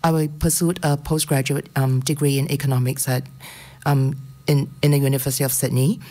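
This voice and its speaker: Middle-aged woman, Singaporean accent, low dark voice, sharing a personal testimony to listeners. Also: flat delivery, brisk. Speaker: guest speaker.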